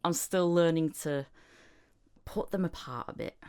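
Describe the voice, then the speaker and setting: Host: woman, low voice, Northern English with a Yorkshire tinge, rambling and chatty. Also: a little slow, darkish tone, clean tone. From Northern England. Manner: chatty, rambling with many restarts, laughs often. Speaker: podcast host. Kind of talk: casual chat.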